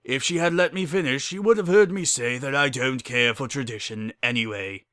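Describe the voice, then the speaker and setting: A man voicing a stuffy, composed middle-aged unicorn nobleman, formal and measured, in a storybook reading. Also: mid-range voice, pushed and bright, no echo, close to an everyday voice. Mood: firm, explanatory. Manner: formal, measured, matter-of-fact.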